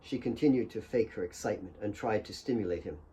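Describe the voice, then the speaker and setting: A man's voice: on the low side, fast talker, mellow, low-quality recording. A man reading dramatic scripted fiction as an author narrating his own audiobook.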